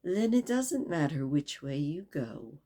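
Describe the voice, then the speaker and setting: Soft-spoken riddling cat, done by a woman reader: slow, teasing drawl, playful lilt. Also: low voice, big pitch swings, pitched below the performer's own voice, exaggerated voice. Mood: sly. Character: sly, grinning, riddling cat. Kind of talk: storybook read-aloud.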